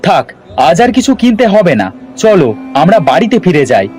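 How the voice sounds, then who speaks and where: A man's voice: high-pitched, moderate pace, slightly rough, heavily acted. A man playing a village farmer, a grumbling but doting husband and father, in a dramatic cartoon style. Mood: worried. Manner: dramatic, expressive. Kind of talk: cartoon story character acting.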